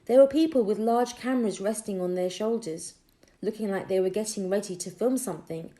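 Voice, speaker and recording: A woman narrating a children's audiobook expressively, with deliberate storytelling phrasing. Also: medium pitch, mellow. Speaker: audiobook reader.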